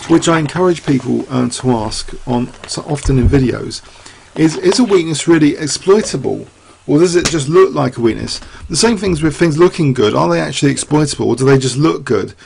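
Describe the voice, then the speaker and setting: A man's voice: fairly high pitch, vocal fry, a little hiss or hum. A man analyzing a chess game aloud, losing his voice, rambling through rhetorical questions with many fillers. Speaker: chess commentator.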